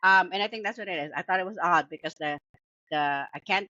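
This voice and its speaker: A woman's voice: on the low side, fast, bright, forceful tone, dry recording. A woman with a Filipino accent chatting casually as a co-host of a book review show. She talks with many fillers and restarts and laughs often. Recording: dull lo-fi dry sound.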